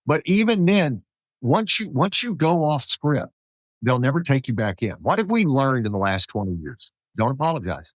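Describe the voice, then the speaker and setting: A man's voice: medium pitch, normal speed, dry recording. An American man hosting a conversational political podcast, talking emphatically and acting out quotes as he makes his points. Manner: animated, emphatic, acts out quotes. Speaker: podcast host.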